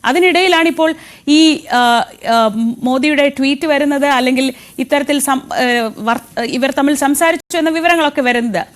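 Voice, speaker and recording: A woman's voice: sits high, normal speed. A woman anchoring live news and putting questions to a correspondent.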